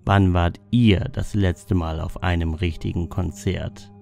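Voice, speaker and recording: A man reading scripted explanatory narration in a measured, solemn manner. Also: low voice, fairly even intonation, creaky, darkish tone. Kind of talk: scripted narration of a dream-symbol lexicon. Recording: music bed under the voice. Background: background music.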